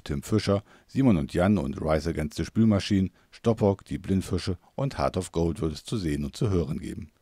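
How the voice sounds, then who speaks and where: A man's voice: sits low, dark tone, husky, dry recording. A man reading a scripted local news report as narrator.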